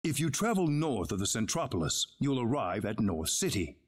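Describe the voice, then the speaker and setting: An actor playing a grave, courteous leader of an advanced civilization in a video game, speaking in formal, measured exposition. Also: male voice, medium pitch, very animated, husky. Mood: measured, instructive. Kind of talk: dramatic video game dialogue.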